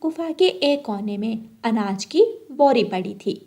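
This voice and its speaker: A woman's voice: a little high, animated, unhurried, warm tone. A woman narrating a scripted children's cartoon story.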